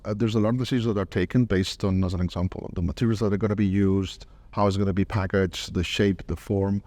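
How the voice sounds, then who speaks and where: Low-voiced man giving a halting interview. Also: darkish tone, no room sound. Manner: halting, repeats words. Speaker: interviewed corporate marketing director. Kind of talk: on-site conference interview. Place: medium conference room, indoors.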